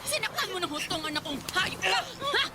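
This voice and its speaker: A woman's voice: very high voice, very animated, fairly quick, very noisy. An actress playing a furious, protective middle-aged Filipino mother, scolding and confrontational in a radio drama. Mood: enraged.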